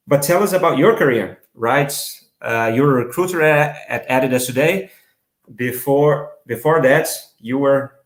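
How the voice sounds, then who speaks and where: Brazilian male host, halting delivery, roomy echo. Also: medium pitch. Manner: hesitant, many fillers and restarts. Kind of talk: live online interview.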